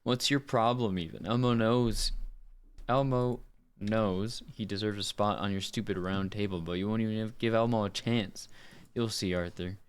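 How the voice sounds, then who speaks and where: A man's voice: fairly low pitch, steady tone, normal speed, smooth, lightly acted. A man voicing a petulant puppet monster who feels slighted and ominously threatens revenge. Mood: petulant, aggrieved. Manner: petulant, ominous, self-referring.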